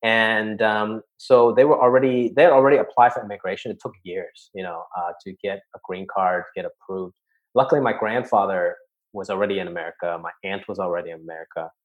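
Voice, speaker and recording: A man from Hong Kong, a guest comedian, telling stories in a relaxed, conversational way and dropping his voice for asides. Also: lowish voice, fairly quick, dull audio. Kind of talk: casual podcast chat, storytelling.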